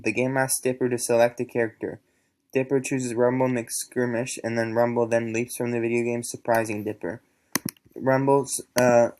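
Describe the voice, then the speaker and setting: A man's voice: mid-range voice, a little mellow. A man reviewing a cartoon episode, reading a plot recap with many fillers and stumbling restarts. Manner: halting, many fillers and self-corrections. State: voice recently recovered.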